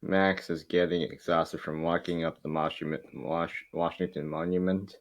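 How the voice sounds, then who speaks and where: Low-voiced man reading stage directions. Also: little pitch movement, normal speed. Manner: stumbling over the script. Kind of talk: scripted narration in an amateur table read.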